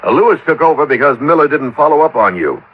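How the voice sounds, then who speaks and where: An actor playing a harried, firm but apologetic insurance manager in a 1950s radio drama, with a husky voice. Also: male voice, fairly high pitch, big pitch swings, quick pace. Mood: reproachful. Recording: dull old radio recording.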